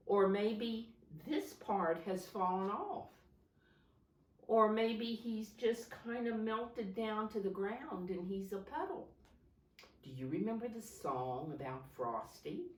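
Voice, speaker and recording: A woman leading storytime for young children, talking simply and instructively with questions and prompts as she reads a picture book aloud. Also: medium pitch. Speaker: preschool teacher reading a picture book aloud. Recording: roomy echo, distant microphone.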